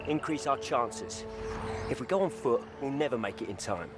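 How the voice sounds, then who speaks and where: An actor playing a passionate, headstrong knight in a video game, with a forceful, plain-spoken delivery. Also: male voice, medium pitch, lively intonation, normal speed, music in the background, close to an everyday voice. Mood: urgent. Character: passionate, headstrong, loyal male dragon-riding knight. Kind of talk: scripted video game dialogue.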